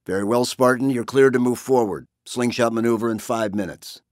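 A man's voice: mid-range voice, fairly quick, no echo, studio quality, voice pushed up, natural voice. A man voicing a stern, cautious female starship captain in a blunt military manner, for an audiobook. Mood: resigned command. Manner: firm, blunt, formal military.

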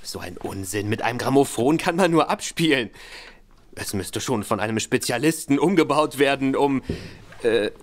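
An actor playing a timid, easily shocked young gentleman accomplice who hesitates and stammers. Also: mid-range voice, lively intonation, normal speed, close to an everyday voice. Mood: flustered. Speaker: reluctant accomplice. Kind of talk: studio audio drama acting.

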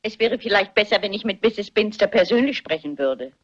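An older woman playing a shrewd, stubborn elderly amateur sleuth in a German crime radio play, husky and briskly self-assured. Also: mid-range voice, animated, muffled recording, close to an everyday voice. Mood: firm, composed.

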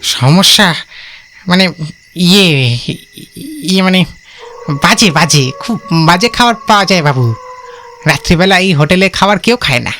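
A man's voice: high voice, lively intonation, unhurried, pauses often, sharp tone, slight background noise, full character voice. A man voicing a helpful, nervous village van driver in a cartoon, deferential and hesitant, repeating his words. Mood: nervous, pleading. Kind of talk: cartoon voice acting.

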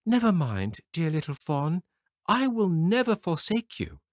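A gentle, anxious little girl , put on by a man reading a fairy tale, speaks high and pleading, her voice rising and falling widely. The sound is dry and close. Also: sing-song, measured pace, dry recording. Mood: tender. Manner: pleading, lilting. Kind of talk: storybook reading.

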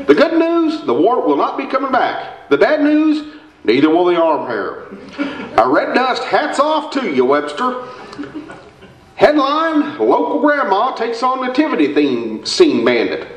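A male actor plays a folksy small-town radio man with drawling phrasing. His pitch runs high and swoops around in old-time comedy style. The sound is roomy, picked up from a theatre stage. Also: wildly swooping pitch, unhurried, heavily acted. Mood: amused, animated. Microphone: stand microphone, camera far off. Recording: roomy stage recording from the audience.

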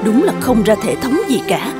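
A woman's voice: on the high side, sing-song, normal speed, over loud music. A woman voicing a jealous, imperious empress in a historical court cartoon. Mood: resentful. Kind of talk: dubbed historical cartoon acting.

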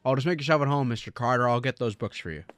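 A man's voice: mid-range voice, racing, dry recording, faint music behind, close to an everyday voice. A man acting in a radio drama as an earnest, kindly but easily frantic small-town businessman, folksy and emphatic, with an American accent. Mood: warm, teasing. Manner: folksy, emphatic, stammering when pressed.

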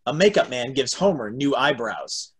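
A man with a husky voice reading stage directions as narrator of an amateur table read. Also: medium pitch, lively intonation, normal speed. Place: home room, on a video call.

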